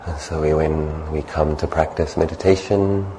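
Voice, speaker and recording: A man calmly leading a guided meditation as a monk, giving gentle, unhurried instructions. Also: rumbling low, a little hiss or hum.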